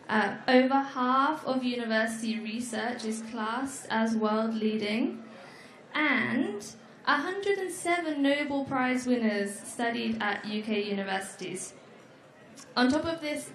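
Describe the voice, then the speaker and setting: A young woman giving a friendly presentation at an education fair, explaining slowly with small fillers. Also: fairly high pitch. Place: large echoey exhibition hall. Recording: echoey hall.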